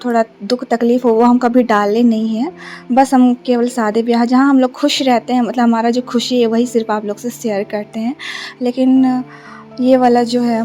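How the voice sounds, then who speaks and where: Young woman, from Jharkhand, sore throat, flat soft delivery, hesitant, slightly high voice. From Jharkhand, India. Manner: soft, subdued, many hesitations. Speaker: vlogger. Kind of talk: casual vlog narration. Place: bus stand, then a relative's flat.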